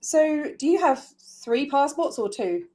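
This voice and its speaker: A woman's voice: high voice, lively intonation, unhurried, dark tone. Glasgow-born woman. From Scotland, Glasgow. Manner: friendly, encouraging, laughs often. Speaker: podcast host. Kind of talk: casual online interview chat.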